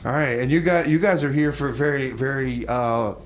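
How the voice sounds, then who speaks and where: Male community radio host, mid-pitched, casual and rambling, folksy delivery over faint studio noise. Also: a little fast. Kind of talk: casual rambling on-air talk. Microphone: studio microphone.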